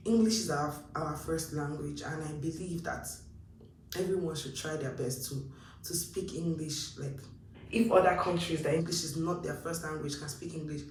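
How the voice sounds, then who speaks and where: A young woman with a Nigerian accent talks casually and animatedly, her voice sitting a little back from the mic. The room gives her speech a noticeable echo, with a faint hum of ambience underneath. She sounds like she is chatting with a friend. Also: on the low side. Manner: chatty and animated, acts out her own past thoughts. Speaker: vlogger. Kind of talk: casual advice talk to camera. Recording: roomy echo, mic a bit distant.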